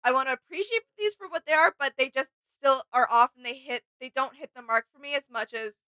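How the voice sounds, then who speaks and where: A woman's voice: high voice, a little fast, pushed and bright, dry recording, low-quality recording. A woman co-hosting a casual reaction chat, informally sharing her opinions as she thinks aloud. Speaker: reaction video co-host.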